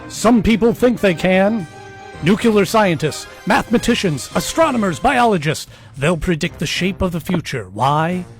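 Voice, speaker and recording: A man's voice: high voice, animated, normal speed, faint music behind. A man playing a portentous announcer in a theatrical, old-time science fiction radio drama style. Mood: portentous, grand. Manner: theatrical, declamatory. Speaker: announcer and bit player. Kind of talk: dramatic 1950s radio narration, live re-performance.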